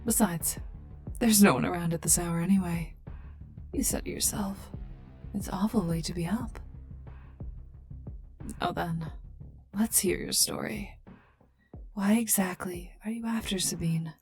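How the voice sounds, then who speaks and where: A woman playing a cold, sardonic, menacing female scientist in an ASMR roleplay, taunting the listener in breathy half-whispers. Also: on the low side, unhurried, pauses often, natural voice. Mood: mocking, menacing. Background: calm ambient music.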